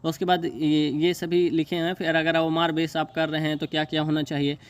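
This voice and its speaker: A man talks through an informal explainer in a slightly high voice. His delivery is very even and monotone. He goes haltingly, repeating phrases and stumbling as he reads out technical terms. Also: clean tone. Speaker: exam news video host. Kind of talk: informal explainer, reading and paraphrasing a notice.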